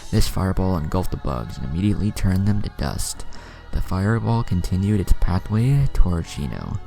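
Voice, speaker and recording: A man's voice: low voice, sing-song, normal speed, gravelly, warm tone, soft background music, poor audio. A man narrating an anime fan story, reading out both the action and the dialogue.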